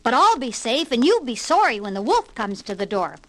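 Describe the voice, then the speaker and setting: Sensible pig brother, played by a woman, high voice, firm and steady-minded, vintage storybook record. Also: a little fast. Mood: scolding. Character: sober, busy, sensible male pig brother. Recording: 1960s vinyl record with hiss and pops.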